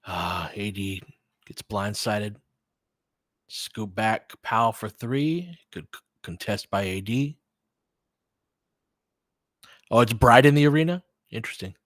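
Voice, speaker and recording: A man's voice: lowish voice, a little slow, fairly bright tone, dry recording, studio quality. A man with an American accent giving casual live basketball commentary, thinking aloud and chatting conversationally with listeners. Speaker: host of fan play-by-play livestream.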